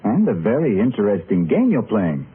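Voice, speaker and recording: Polite gentleman doctor, very lively intonation, formal radio acting, thin lo-fi old recording. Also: on the low side, moderate pace. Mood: polite, curious. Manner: well-mannered, formal. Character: polite, curious male doctor, cultivated chess enthusiast. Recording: noisy, narrow-band old radio recording.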